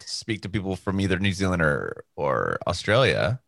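A man's voice: sits low, sing-song, normal speed, creaky, fairly bright tone, no echo, clean studio sound. A young man hosting a podcast, reading the show intro and then asking eager, rambling questions. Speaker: podcast host. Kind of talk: podcast intro and casual interviewing.

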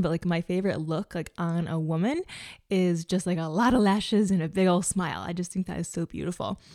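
A woman in her mid twenties talks in a relaxed, mellow voice that sits slightly low for a woman. She is chatty and girly, sharing her enthusiasm like a beauty creator in a casual chat. The sound is close and dry. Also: no room sound.

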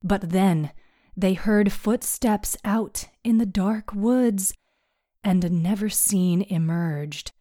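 A woman narrating a scripted story recap in a measured, dramatic storytelling manner. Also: medium pitch, warm tone, dry recording, studio quality.

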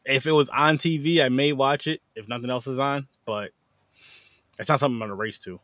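A man's voice: medium pitch, fairly even intonation, fairly quick, a bit bright, no room sound. A man hosting a film podcast in a casual, chatty style.

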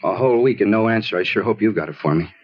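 An American actor playing an anxious, evasive young man in a 1950s radio crime drama, husky, repetitive and insistent. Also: fairly low pitch, very fast. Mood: troubled. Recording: dull vintage 1950s radio recording.

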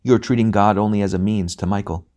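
A man voicing a bright spirit, a brother who speaks gently and patiently in a calm, measured way. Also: on the low side, lightly acted. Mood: gentle, patient.

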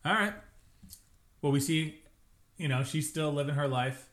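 A man's voice: fairly high pitch, a bit bright. A young man co-hosting a podcast, chatting casually and jokingly about a TV episode. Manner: chatty, jokey, quick asides. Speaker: podcast co-host. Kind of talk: casual TV recap chat.

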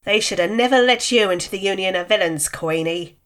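A woman's voice: mid-range voice, a little fast, fairly bright tone, lightly acted. A woman playing a scornful villainess with an Irish accent in an audio drama. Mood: cool, scornful. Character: scornful Irish female mind-control villain.

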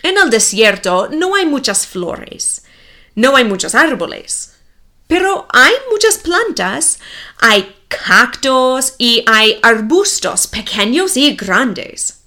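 An American woman, native in English, hosts a story podcast for language learners. Her voice is very bright and a little high. She reads slowly, in short simple phrases, each one set carefully apart. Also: big pitch swings. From Minnesota, United States. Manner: careful simple phrasing, clearly separated sentences. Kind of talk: scripted narration for language learners.

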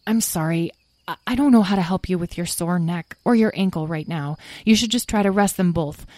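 A woman's voice: lowish voice, brisk, a little mellow, no echo, close to an everyday voice. A woman voicing an earnest, kind-hearted young stable boy in a storybook, stammering and tearful. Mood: gentle. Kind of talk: storybook character voice.

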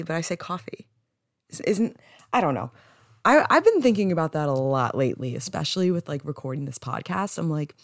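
A woman's voice: deep voice, no room sound, clean studio sound. A young American woman from New Jersey talking casually on a solo podcast, candid and rambling, with "like" sprinkled throughout. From New Jersey, United States. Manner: chatty, rambling, candid, frequent "like". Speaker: podcast host.

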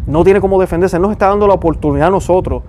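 Man, puerto rican accent, earnest questioning commentary, slightly high voice. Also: rapid-fire, darkish tone, slight background noise. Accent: Puerto Rican Spanish. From Puerto Rico. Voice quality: frequent restarts and "¿verdad?" tags. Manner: earnest, asks many rhetorical questions. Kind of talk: religious commentary to viewers.

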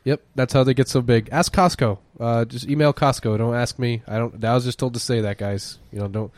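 A man co-hosting a podcast in casual joking chat, lively and playful. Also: medium pitch, brisk, no echo. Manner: lively, jokey, drifts into silly voices. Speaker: podcast co-host.

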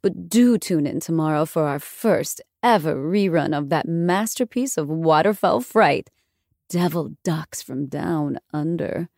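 A woman's voice: unhurried, gravelly, darkish tone, no echo, studio quality. An actress playing a sultry, sardonic vampire woman who hosts a horror show, with a silky voice and theatrical, haughty delivery in a dramatized audiobook. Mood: campy, theatrical. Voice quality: deep, silky.

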